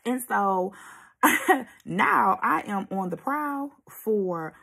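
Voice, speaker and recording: A woman hosting a casual vlog, talking in an animated, emphatic and sassy way with a slightly husky voice. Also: medium pitch, drawn-out words. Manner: animated, emphatic, sassy asides with pet names. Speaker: host of an audiobook review vlog. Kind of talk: casual chatty video commentary.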